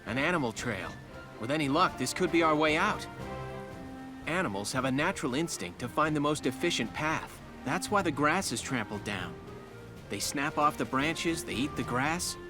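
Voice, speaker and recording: An actor playing an easygoing, studious young squad leader in a game, explaining things calmly. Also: male voice, fairly high pitch, moderate pace, over music, close to an everyday voice. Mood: calm, engaged. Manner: calm, explanatory.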